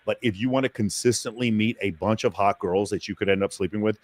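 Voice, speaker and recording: A man with a low voice speaks with boastful, profane energy. The recording is dry and close, with no room echo. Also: quick pace. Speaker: dating coach on a panel. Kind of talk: casual panel chat.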